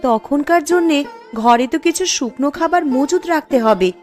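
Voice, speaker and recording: High-voiced, fretful village wife acted by a woman. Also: lively intonation, moderate pace, darkish tone, soft background music. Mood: fretful, worried. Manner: fretful, complaining. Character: fretful, scolding poor village wife and mother. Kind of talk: cartoon story dialogue.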